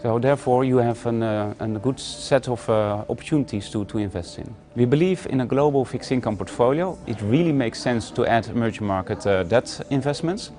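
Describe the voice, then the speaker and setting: A man talking about emerging market investing in a corporate interview, steady, with frequent "uh" pauses between phrases. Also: medium pitch. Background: faint music.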